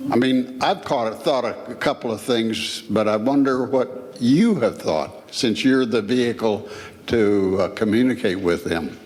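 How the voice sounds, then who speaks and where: A man's voice: medium pitch, a little mellow. A man politely and hesitantly asking a panel a question. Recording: hall reverb.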